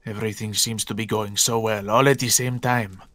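A man's voice: lowish voice, fairly even intonation, moderate pace, fairly bright tone, lightly acted. A man playing an anxious, suspicious guild mentor in a game roleplay, with hesitant, formal, theatrical phrasing. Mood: suspicious, urgent. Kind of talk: improvised Minecraft roleplay acting.

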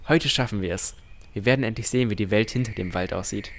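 Man as low-voiced, earnest boy adventurer. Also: fast talker, lightly acted. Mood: reflective narration. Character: boy Noel, earnest, cautious young adventurer narrating his story. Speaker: narrator and character in an audio drama. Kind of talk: first-person scripted narration and dialogue.

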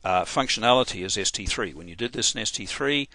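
A man with an Australian accent talking through a software tutorial over his screen, in a casual, halting way with many fillers and restarts. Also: fairly low pitch, animated, dry recording. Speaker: software trainer.

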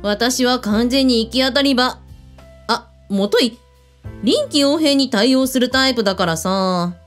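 A woman's voice: a little high, measured pace, faint music behind, close to an everyday voice. An actress in a radio drama playing a breezy, blunt female hotel owner who speaks with authority. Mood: casual, grumbling.

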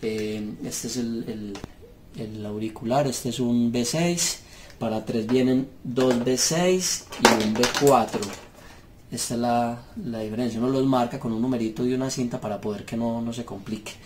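A man gives an unscripted hands-on tutorial, explaining step by step in halting phrases with pauses. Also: mid-range voice. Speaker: seller demonstrating referee intercom gear. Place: small indoor room at a table. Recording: clear background noise with device clicks.

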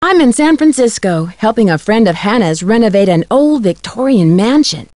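A woman's voice: mid-range voice, big pitch swings, moderate pace, darkish tone, no echo, natural voice. A woman voicing an eager, friendly young amateur detective in a video game, earnest and polished. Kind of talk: video game character dialogue.

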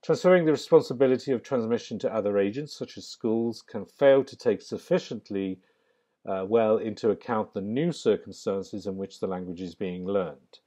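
A man giving a narrated slide lecture in a measured, academic way, pausing with frequent ums. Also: on the low side, mellow. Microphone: voice-over on slides.

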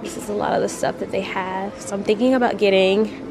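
A woman's voice: medium pitch, steady tone, fairly quick, over music, poor audio. Chatty young woman, nasal from a cold. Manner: chatty, many "like" fillers. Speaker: vlogger. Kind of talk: casual travel vlog. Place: hotel room and shop, indoors. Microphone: handheld camera at arm's length.

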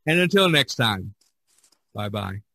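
A man's voice: fairly low pitch, very animated, a little slow, pushed and bright. A man hosting a podcast in a casual, chatty style, often chuckling as he talks. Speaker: podcast host.

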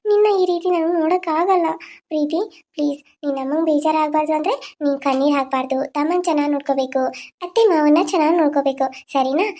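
Tender ghost mother, played by a woman: high, soothing, coaxing. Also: quick pace, warm tone, lightly acted. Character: gentle ghost of a young mother.

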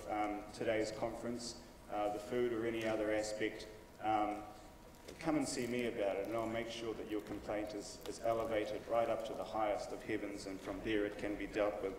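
A man in his forties emcees a conference, easygoing and wry, stumbling through plenty of ums and uhs. His slightly low voice sits a little back from the mic. The sound rings through a big reverberant hall with faint hiss underneath. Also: far from the mic. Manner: relaxed, joking, many ums and uhs. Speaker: event MC. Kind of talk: housekeeping announcements at a summit. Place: conference room, at a lectern.

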